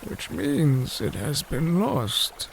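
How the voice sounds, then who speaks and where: An elderly, learned royal advisor of a fish people, speaks in a high voice. He is formal and grave, taking his time over every phrase like a keeper of old lore. It is fantasy audio drama, with faint noise in the background. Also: sing-song, vocal fry, full character voice. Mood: gently correcting. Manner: formal, deliberate, solemn storyteller.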